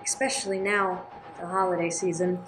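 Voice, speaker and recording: A woman playing a gentle, earnest, sorrowful nun in a radio play, speaking with some hesitation. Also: lowish voice, little pitch movement, normal speed, close to an everyday voice. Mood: earnest, apologetic. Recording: clear background noise, room ambience.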